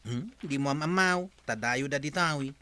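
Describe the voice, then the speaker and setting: A man's voice: medium pitch, normal speed, sharp tone, dry recording, close to an everyday voice. An actor playing a carefree drinker and womanizer in an audio drama, boastful and exclamatory, with a leering manner. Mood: boastful, dismissive. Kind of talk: Ilocano radio-style audio drama acting.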